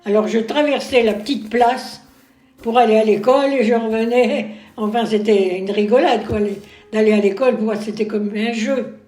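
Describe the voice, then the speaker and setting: A woman's voice: a little high, fairly even intonation, normal speed, mellow. Older woman, fond reminiscing. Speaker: retirement home resident. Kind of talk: recalling memories in an interview. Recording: roomy with music underneath.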